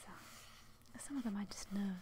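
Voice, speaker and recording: A young British woman speaking in a soft, breathy whisper, chatting casually and a little hesitantly to her viewers in ASMR style, close to the microphone. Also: mid-range voice, moderate pace, noisy background. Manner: whispery, hushed, hesitant and apologetic. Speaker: ASMR video host.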